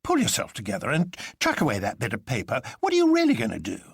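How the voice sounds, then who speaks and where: A man puts on an exasperated little doctor from a storybook. He is blunt and impatient, with a raised pitch that moves sharply about. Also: wildly swooping pitch, quick pace, creaky, breathy edge, no echo, clean studio sound, exaggerated voice.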